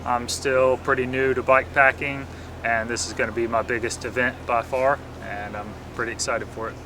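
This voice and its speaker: A man's voice: mid-range voice, little pitch movement, moderate pace, noisy background. A man from Florida, a bikepacking racer answering interview questions in an upbeat, easygoing way.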